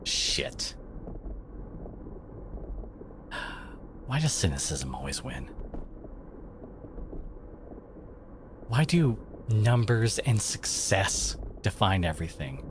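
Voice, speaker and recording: A man playing a devoted, protective boyfriend in an intimate ASMR audio roleplay, speaking haltingly with sighs and whispers, emotional and tender. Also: low voice, very animated, background noise. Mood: frustrated, hurt. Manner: halting, sighing, slipping into breathy whispers.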